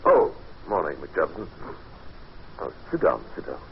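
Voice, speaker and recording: Uneasy gentleman narrator for vintage radio play: deep, musing, measured, dramatic, on a muffled old recording. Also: animated, creaky, background noise, exaggerated voice. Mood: uneasy, questioning. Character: male narrator Buchan, curious, uneasy house guest. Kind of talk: old time radio drama acting, first-person narration.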